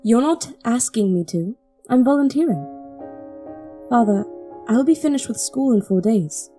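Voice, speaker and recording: A woman plays a devoted teenage princess in an audio drama. Her voice is fairly high and on the soft, mellow side, earnest and articulate, with light music underneath. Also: expressive, measured pace. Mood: earnest, pleading. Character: earnest, devoted young princess, seventeen, daughter of king. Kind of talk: audio drama acting with first-person narration.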